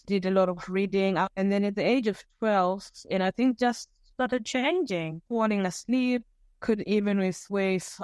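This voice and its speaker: A woman from Zimbabwe, a podcast guest, telling her own story candidly, with pauses and restarts. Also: medium pitch, darkish tone, clear, resonant tone, no room sound. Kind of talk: personal storytelling interview.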